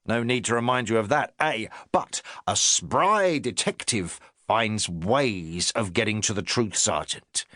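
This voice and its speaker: A self-important police inspector lectures his sergeant in pointed, hectoring phrases, voiced by a male narrator. He talks slowly, his voice swooping up and down as he hammers each point home. The reading is close and dry, with no room sound. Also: lowish voice, lively intonation, bright, forceful tone, dry recording. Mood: lecturing. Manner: pompous, hectoring, jabbing phrases. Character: pompous lecturing police inspector, middle-aged man. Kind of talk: audiobook character reading.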